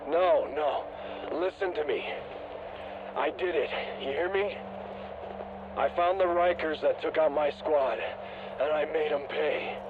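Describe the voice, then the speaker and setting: Slow, dying soldier over radio. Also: male voice, a little high. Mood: weakly proud. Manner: halting, weary, weakened speech. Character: wounded, dying male field agent, stoic and selfless. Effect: radio transmission. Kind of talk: dramatic acting in a video game audio log. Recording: narrow, noisy radio-filtered sound.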